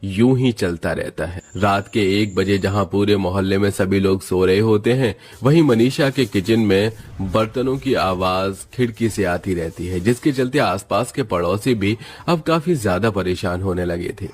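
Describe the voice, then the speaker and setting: A man serves as narrator with a low-pitched voice. He reads a scripted moral family story in storytelling style. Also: moderate pace, few pauses.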